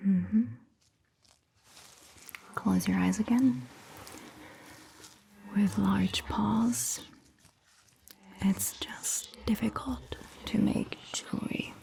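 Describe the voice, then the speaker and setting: A woman playing a calm, caring vampire doctor in a whispered ASMR roleplay, speaking softly and breathily with gentle, measured pauses. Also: medium pitch, vocal fry, full character voice. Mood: gentle, attentive. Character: calm, caring female vampire doctor telling old lore. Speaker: ASMR performer.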